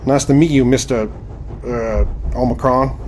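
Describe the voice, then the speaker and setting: A man's voice: medium pitch, fairly even intonation, a little slow, a little mellow, slight background noise, natural voice. An actor playing a courteous, bewildered nineteenth-century man with hesitant, old-fashioned formality in an audio drama. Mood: polite, uneasy. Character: polite, bewildered nineteenth-century American man, naive newcomer.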